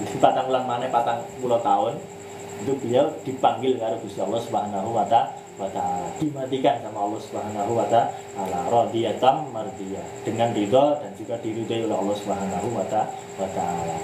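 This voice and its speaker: A man's voice: on the low side, fairly quick, echoey room, noisy background. A man leading a religious book study, mixing in words and posing rhetorical questions. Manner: mixes in Javanese words, asks himself rhetorical questions; restarts words and draws out key phrases.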